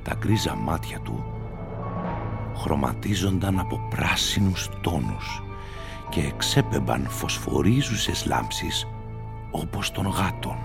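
A man narrating a literary story dramatically, with slow and deliberate delivery. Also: a bass voice, gravelly.

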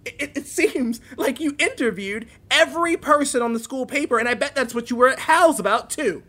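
Stern, protective guardian. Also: female voice, high-pitched, expressive, brisk, fairly bright tone. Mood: worried, exasperated. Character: protective, worried adult guardian of a teenage girl. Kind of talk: naturalistic audio drama acting.

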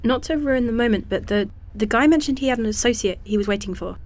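An actress playing a shaken, self-deprecating scientist in an audio drama, speaking haltingly with dry, rambling humor. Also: female voice, a little high, lightly acted. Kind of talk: audio drama acting, diary monologue.

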